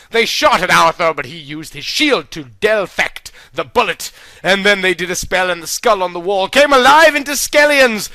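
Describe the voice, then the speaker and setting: Bright high-voiced man reading a silly story. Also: no echo. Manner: deadpan earnest storytelling, mock-dramatic. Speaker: narrator. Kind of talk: comic reading of a childish story.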